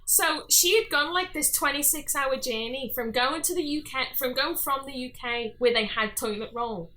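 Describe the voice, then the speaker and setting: Young scouse girl chatting on a podcast, high bright voice, acts out her stories. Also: moderate pace. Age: early 20s. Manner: animated storyteller who acts out dialogue. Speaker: podcast guest. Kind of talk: casual chat, anecdotes.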